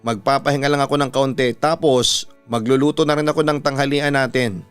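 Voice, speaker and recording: A man's voice: medium pitch, steady tone, fairly quick, clean tone, no room sound, natural voice. A man with a Filipino accent voicing a bossy, protective mother who nags her children in a dramatised story. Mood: instructing. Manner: nagging, bossy. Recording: music behind the voice.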